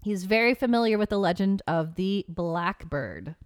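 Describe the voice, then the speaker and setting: A woman's voice: medium pitch, lively intonation, normal speed, clean tone. A woman co-hosting a podcast, telling stories in a conversational way and adding asides as she reads out accounts. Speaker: podcast co-host. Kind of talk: casual storytelling chat about a legend.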